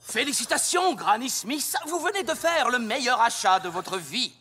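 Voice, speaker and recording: A man's voice: animated, moderate pace, husky, full character voice. A man voicing a slick, showy pony salesman hawking miracle cures in a French-dubbed musical cartoon. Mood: smooth congratulation. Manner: showy, sales-pitch patter. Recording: loud music under the voice.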